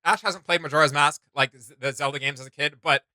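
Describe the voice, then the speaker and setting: A man in his thirties with a somewhat high, very bright and forceful voice co-hosts a reaction show. He rambles enthusiastically in casual conversation, sounding close and conversational. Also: fast talker, dry recording.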